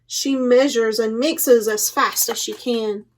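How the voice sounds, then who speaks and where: Slow, high-voiced woman reading a storybook. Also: steady tone. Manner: deliberate, dramatic storytelling with playful emphasis. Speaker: children's librarian, storytime host. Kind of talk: expressive picture-book read-aloud for children.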